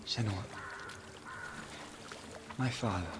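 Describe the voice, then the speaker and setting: An actor playing a gentle, reassuring warrior companion in a game, soothing, soft and patient. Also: male voice, fairly low pitch, takes it slowly, very noisy. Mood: encouraging. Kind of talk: intimate game dialogue. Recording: loud watery background ambience.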